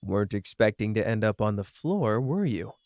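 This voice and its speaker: A man's voice: lowish voice, lively intonation, moderate pace, a little mellow, dry recording, clean studio sound, natural voice. A man playing a cocky, playful young sorcerer who teases and cares, speaking smugly and intimately to the listener in an ASMR roleplay. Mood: teasing, cocky. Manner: teasing, smug, drawling, intimate. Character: cocky, playful young male sorcerer, teasing but caring.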